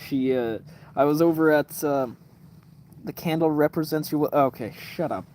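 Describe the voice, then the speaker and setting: A man's voice: a little high, darkish tone, a little hiss or hum. Rambling man in his twenties. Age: 20s. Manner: rambling storyteller, swears, many fillers and restarts. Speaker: livestream host. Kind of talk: casual livestream chat. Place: room lit by kerosene lamp at night.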